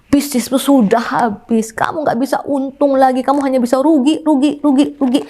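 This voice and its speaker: A woman in her fifties, a pastor preaching a sermon with emphasis, often addressing the congregation directly and swinging widely in pitch. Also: a little mellow. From Indonesia. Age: 50s. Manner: emphatic, calls "saudara", wide swings in pitch.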